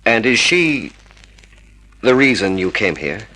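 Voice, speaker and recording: An actor playing a scheming con man who poses as a private detective with measured, reassuring talk, in an old-time radio drama. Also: fairly low pitch, sing-song, pushed and bright, no echo, exaggerated voice, poor audio. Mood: falsely courteous. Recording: vintage radio drama recording.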